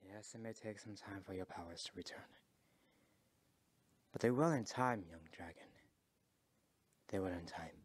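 Man putting on a low, hushed old dragon mentor. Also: expressive, no echo. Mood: solemn, regretful. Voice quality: hushed, breathy. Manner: solemn, measured. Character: old weary, gentle male dragon mentor. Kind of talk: amateur fandub of a video game.

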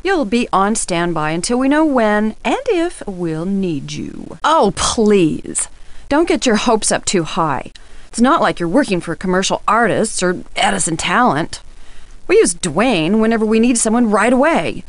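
A woman's voice: mid-range voice, sing-song, unhurried, dry recording. A woman playing a haughty, scornful TV studio worker in a video game, sarcastic and condescending.

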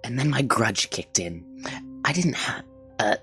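A woman voicing an unhinged, rambling male stranger, slipping into whispers, with soft background music. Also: a bass voice, expressive, measured pace, pitched below the performer's own voice, heavily acted. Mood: rambling menace.

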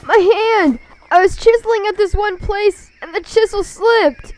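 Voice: extremely high pitch, unhurried, a little mellow, low-quality recording. An actor voicing a small, injured young fox boy in an amateur radio drama, whiny and plaintive. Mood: hurt, whining.

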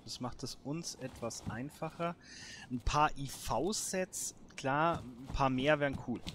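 A man giving casual let's play commentary as host, thinking aloud in short, halting phrases. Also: mid-range voice, a bit bright, slight background noise. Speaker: host of a gameplay series.

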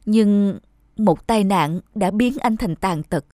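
Apologetic middle-aged sorrowful sweetheart. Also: male voice, sits high, slow, darkish tone, no echo, clean studio sound. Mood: remorseful. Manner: apologetic, earnest. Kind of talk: melodramatic animated film dialogue.

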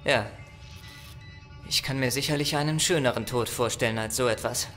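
A starship technician acted by a man in a sci-fi radio play, with a bright mid-pitched voice. He sounds practical and focused, with soft music behind him. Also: normal speed, lightly acted. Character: level-headed male starship technician. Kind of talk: sci-fi audio drama acting.

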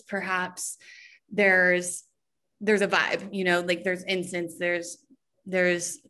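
Slow, searching young woman designer. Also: mid-range voice, a bit bright. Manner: slow, searching, with many restarts. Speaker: fashion designer. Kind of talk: relaxed video call talk. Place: home room with painted backdrop. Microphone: video call.

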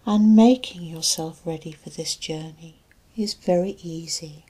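A woman hypnotherapist narrating a guided relaxation and sleep hypnosis in a soft, breathy, soothing and deliberate voice, leaving long pauses between phrases. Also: on the low side.

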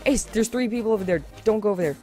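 A young man hosting a casual gaming video, chattering excitably and panicking during play. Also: high-pitched, racing. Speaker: channel host.

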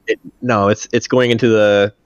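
A man's voice: fairly low pitch, flat delivery, moderate pace, clean tone, dry recording, muffled recording. A man who works as a Marine aircraft mechanic answers technical questions in a plain-spoken, casual way over a video call.

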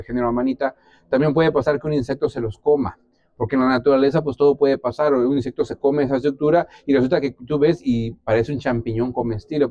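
A man in his forties speaks with a mellow tone at a middling pitch. He explains things as an interviewed expert, sometimes restarting, on a dry, close recording. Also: dry recording, muffled recording. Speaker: biologist, interviewee. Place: small indoor exhibition room. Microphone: clip-on lapel mic.

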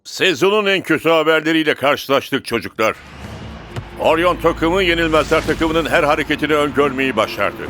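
A man's voice: fairly high pitch, animated, moderate pace, bright, forceful tone, soft background music. A man voicing a firm, authoritative football coach in a cartoon dub. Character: stern male football coach, commanding and strategic. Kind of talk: dubbed cartoon acting.

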